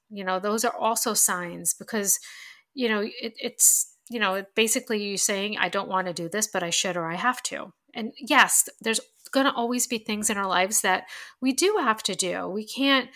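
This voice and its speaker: A woman's voice: mid-range voice, bright, forceful tone. A woman giving casual self-help advice to camera, chatty and full of fillers, her voice stuffed-up and nasal from allergies. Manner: chatty, many fillers and restarts.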